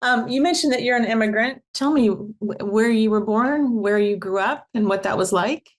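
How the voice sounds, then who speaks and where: A woman's voice: fairly high pitch, normal speed. A woman hosting a podcast interview, asking questions and offering brief, encouraging affirmations. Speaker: podcast host.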